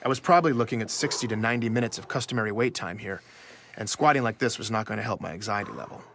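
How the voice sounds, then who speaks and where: An actor playing a nervous investigative journalist in a scripted first-person audio drama. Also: male voice, lowish voice, a bit bright, slight background noise. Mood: measured, uneasy. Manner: measured narration, hesitant in dialogue. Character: nervous male investigative journalist narrating his own story. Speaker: narrator.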